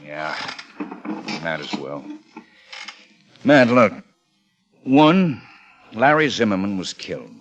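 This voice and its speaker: An actor playing a dogged, weary American police lieutenant in 1950s radio crime drama, with clipped, terse questioning. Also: male voice, fairly low pitch, very animated, slow, pauses often, exaggerated voice. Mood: rueful. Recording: dull old radio recording, music behind.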